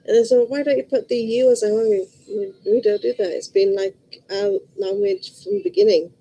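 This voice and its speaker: A woman's voice: fairly high pitch, animated, a little fast, vocal fry, warm tone, a little hiss or hum, poor audio. A middle-aged woman with a Liverpool accent, chatting casually and bluntly to her viewers in a rambling way. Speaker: vlogger. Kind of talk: casual chat to camera.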